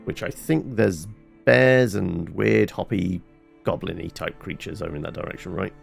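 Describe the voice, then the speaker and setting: A man's voice: deep voice, normal speed, gravelly, soft background music. A man giving casual video game commentary, rambling and thinking aloud to himself. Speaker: gameplay streamer.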